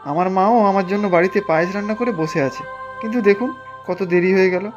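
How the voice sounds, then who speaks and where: A man's voice: sits high, fast, dull audio, over music, natural voice. An actor playing a polite, humble young delivery boy in a cartoon, respectful and earnest. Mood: pleading. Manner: pleading, respectful. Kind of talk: animated cartoon dialogue.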